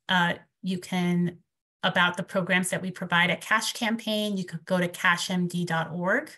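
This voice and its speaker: A woman's voice: mid-range voice, pushed and bright. A woman presenting a webinar over a video call, explaining programs slide by slide with frequent ums. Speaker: presenter from a financial nonprofit.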